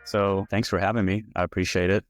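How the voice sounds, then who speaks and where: A man from Hungary in his forties, a guest talking through his career in a relaxed, rambling way with lots of fillers. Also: deep voice, brisk, music in the background. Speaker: guest, VP of user experience. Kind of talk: casual interview talk.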